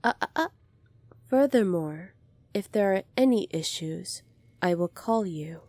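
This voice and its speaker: A woman playing a poised, kindly young alien princess in an ASMR roleplay, polite, formal and measured, soft-spoken with breathy moments. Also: mid-range voice, expressive, no room sound. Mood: firm, composed.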